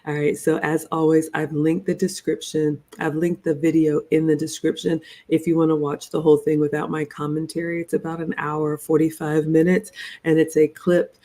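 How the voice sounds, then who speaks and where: A woman in her forties hosting a reaction webinar, talking in a measured, motherly lecturing way. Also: sits low, warm tone. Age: 40s.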